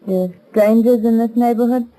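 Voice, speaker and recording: An actress playing a brave, defiant young shopkeeper's daughter, blunt and earnest, in 1930s radio drama style. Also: female voice, a little high, steady tone, moderate pace, mellow, close to an everyday voice. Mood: suspicious. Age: young adult. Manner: blunt, tough-talking, earnest. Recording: muffled vintage 1930s radio recording.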